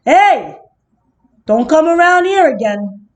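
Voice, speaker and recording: A woman voicing a rude, grumpy male little pig from a children's storybook, curt and dismissive, calling out loudly. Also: high voice, very animated, slow, heavily acted. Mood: angry.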